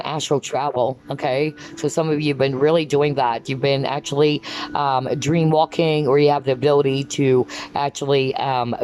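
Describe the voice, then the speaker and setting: A woman giving a rambling spiritual card reading, filling her talk with "like" and "um" as she pauses to pick up messages. Her voice is going hoarse. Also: very deep voice, darkish tone, slight background noise. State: losing her voice. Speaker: tarot card reader.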